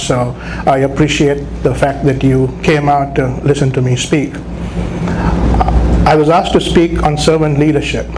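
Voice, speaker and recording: A middle-aged man with a Sri Lankan accent gives a conversational motivational speech to an audience, often checking in with "right?". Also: a little high. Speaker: guest motivational speaker. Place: live talk at a business chamber event. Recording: clear background noise, live event.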